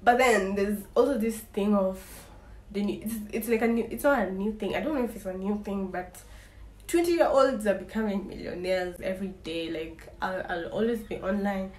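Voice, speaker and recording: A woman's voice: mid-range voice, normal speed, darkish tone. A woman in her twenties talking to camera in a casual vlog, candid and wry, rambling with frequent restarts. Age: 20s. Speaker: vlogger. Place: small indoor room. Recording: echoey room, faint ambient hiss.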